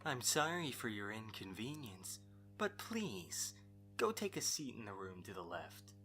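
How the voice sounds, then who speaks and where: A man's voice: lowish voice, very animated, unhurried, bright, forceful tone, soft background music, exaggerated voice. A man acting a courteous, scheming young host in an amateur audio drama, with a theatrically polite manner.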